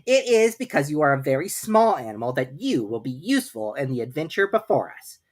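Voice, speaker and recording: A woman's voice: low voice, sing-song, fairly quick, voice pushed up. A woman voicing a bossy, self-important male rabbit who lectures emphatically and pompously in a storybook reading. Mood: solemn, commanding.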